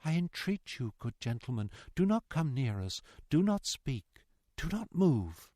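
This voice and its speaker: A gentle, devoted young woman, voiced by a male narrator, pleads in impassioned, repeating cadences. The tone is raised, smooth and tender, in a dry, intimate storybook recording. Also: fairly high pitch, animated, a little slow, no echo, pitched above the performer's own voice. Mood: hushed entreaty. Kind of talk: dramatic audiobook character reading.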